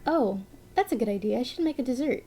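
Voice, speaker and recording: A woman's voice: on the high side, animated, fast, warm tone, voice pushed up. A woman voicing a young adult female novelist on a phone call, friendly and a little defensive, a bit sniffly. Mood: reassuring.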